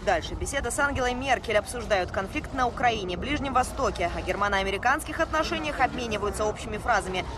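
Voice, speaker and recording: A woman's voice: a little high, lively intonation, fast, few pauses, noisy background. A woman reporting as a news correspondent, narrating a scripted report over faint ambient sound.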